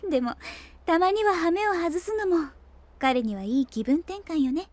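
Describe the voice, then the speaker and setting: An actress playing a gentle, oblivious young female colleague in an audio drama, musing softly. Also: very high voice, animated, moderate pace, very noisy. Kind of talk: Japanese audio drama acting.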